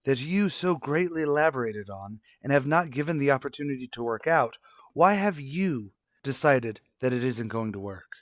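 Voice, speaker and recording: Cold, condescending husband for a daytime radio soap, male actor. Formal, measured diction, medium pitch, dry sound. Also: lively intonation, no echo, natural voice. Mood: cold, challenging. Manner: formal, measured, pointed rhetorical questions. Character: cold, accusing husband and father, sardonic and condescending.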